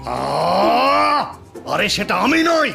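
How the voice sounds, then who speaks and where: Cartoon sorcerer villain: theatrical, menacing, slow, gravelly. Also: male voice, high-pitched, big pitch swings, pushed and bright, exaggerated voice. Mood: exasperated, commanding. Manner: grandiose, menacing, drawn-out delivery. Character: gloating theatrical male evil sorcerer villain. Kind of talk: cartoon voice acting. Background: music.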